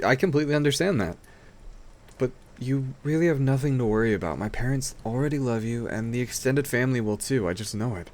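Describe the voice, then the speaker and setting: A man's voice: medium pitch, darkish tone, close to an everyday voice. A man playing an affectionate, playful and protective boyfriend in an intimate roleplay audio drama, talking in a tender, teasing, slightly stammering way. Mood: playful, reassuring.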